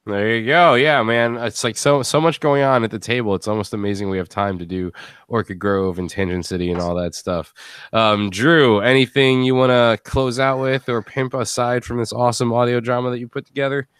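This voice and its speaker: A man's voice: mid-range voice, fairly even intonation, a little fast, fairly bright tone, clear, resonant tone, no room sound, clean studio sound. A man hosting a podcast in casual group chat, jokey and informal, often laughing. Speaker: podcast host.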